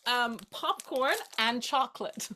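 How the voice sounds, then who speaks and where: A woman's voice: a little high, slow pace, sharp tone, poor audio. A woman acting in a naturalistic drama as a playful, caring best friend with a light, teasing, encouraging manner. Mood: cheerful.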